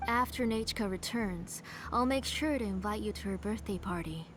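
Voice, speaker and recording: A woman voicing a middle-aged, grieving, obsessive mother in a video game, in a soft, hushed and fervent manner. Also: mid-range voice, normal speed, noisy background, close to an everyday voice. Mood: cold.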